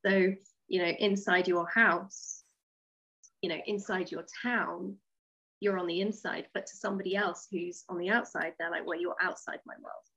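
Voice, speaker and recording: A woman's voice: on the low side, fairly even intonation, moderate pace, a bit bright, highs cut off. A woman speaking as a writer being interviewed, in a casual, conversational way. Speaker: interview guest, science fiction writer.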